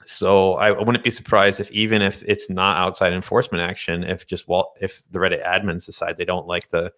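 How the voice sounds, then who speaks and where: Very deep, bright-voiced man. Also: level tone. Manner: halting, thinking aloud. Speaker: guest, day trader. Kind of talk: casual discussion of finance and tech.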